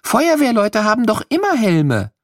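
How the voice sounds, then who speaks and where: A man's voice: high-pitched, huge pitch swings, moderate pace, dry recording, clean studio sound, voice pushed up, exaggerated voice. A man voicing curious small kindergarten children asking wondering questions in an audio story.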